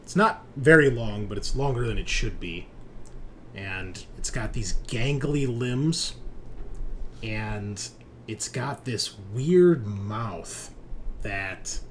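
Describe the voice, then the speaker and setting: A man hosting and narrating a tabletop horror roleplaying session as game master, in a halting, conversational way. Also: on the low side, expressive, fairly bright tone, slight background noise. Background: background music.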